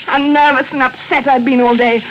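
An actress playing a calm, sinister wife confessing to poisoning in a 1940s radio drama. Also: female voice, high-pitched, lightly acted. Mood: coolly sinister. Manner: quiet, measured, coolly sinister. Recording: dull old radio broadcast with hiss.

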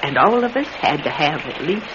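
High-voiced, animated old circus man, lo-fi. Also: normal speed. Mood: animated, nostalgic. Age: older. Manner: wistful, folksy, rambling storytelling. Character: elderly retired circus performer, nostalgic, wary, talkative old man. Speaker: radio drama actor. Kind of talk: classic radio drama acting. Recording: dull, noisy vintage radio recording.

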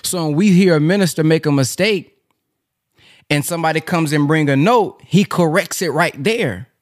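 A man's voice: a little high, moderate pace, no echo, studio quality. A man hosting a podcast, casually following up on his guest's point. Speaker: podcast host. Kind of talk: casual discussion.

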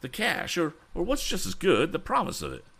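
A man voicing an excitable, exuberant older gentleman in a storybook, with breathless, exclamatory delivery. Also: fairly high pitch, a little fast, gravelly, pitched above the performer's own voice, heavily acted. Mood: excited, triumphant. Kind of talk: audiobook character voice.

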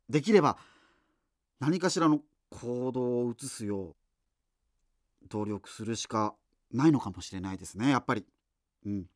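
A man's voice: medium pitch, no room sound, studio quality. A man playing a geeky, rambling radio host facing doomsday in a radio drama, talking hesitantly and digressively. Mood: hesitant, pensive. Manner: hesitant, digressive, self-interrupting. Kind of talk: radio drama monologue, conversational.

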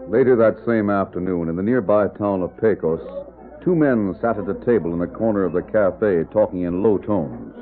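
A man's voice: low voice, normal speed. A man narrating a scripted old-time radio western as a storyteller. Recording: tinny vintage radio, music behind.

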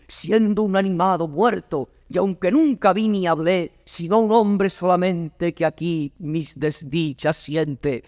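An actor from playing a wretched, wild young captive prince in solemn, declamatory 1950s classical verse drama. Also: male voice, on the high side, darkish tone, no room sound, clean studio sound, natural voice. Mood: awed, sorrowful.

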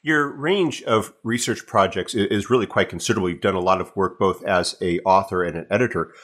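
Podcast interviewer, man, lowish raspy voice, wordy and a bit halting in his phrasing. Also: a bit bright.

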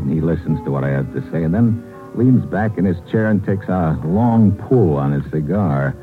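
A low-pitched American man as a tough, calculating secret agent in a classic radio thriller. He talks in clipped, slangy, hardboiled lines, cool and in control. The sound is a narrow, boxy old broadcast with music behind it. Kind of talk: old-time radio drama acting with first-person hardboiled narration.

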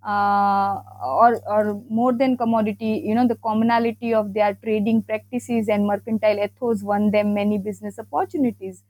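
A woman giving an academic account of merchant trading networks, measured and punctuated with fillers. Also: medium pitch, a little mellow, low-quality recording. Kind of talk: academic presentation.

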